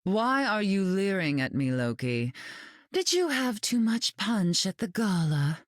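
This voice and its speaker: A woman's voice: medium pitch, big pitch swings, unhurried, no room sound, clean studio sound. An actress playing a glamorous, cutting mutant queen in a video game, arch, flirtatious and condescending in manner. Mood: dry, condescending.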